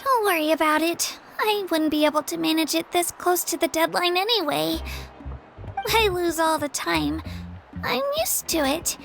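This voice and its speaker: A woman's voice: very high-pitched, normal speed, gravelly. A woman voicing an earnest, humble young girl who hopes to become an adventurer, in a video game. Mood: shy, downcast. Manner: hesitant, humble, eager. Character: earnest, self-deprecating young girl, eager aspiring adventurer. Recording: background music under the voice.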